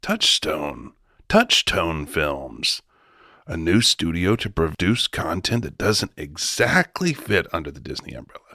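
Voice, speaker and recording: A man's voice: sits low, wildly swooping pitch, a little slow, sharp tone, no echo, exaggerated voice. A man acting as a scatterbrained, self-important male studio executive in a comic radio drama, blustering and dismissive. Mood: musing, dismissive.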